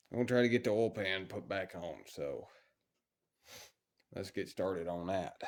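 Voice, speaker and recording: A man's voice: lowish voice, a little mellow. A man with a stuffy voice from a cold, talking plainly and casually while he restores an old engine in a cold workshop. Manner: plain-spoken, talks while working. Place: cold workshop heated by a stove.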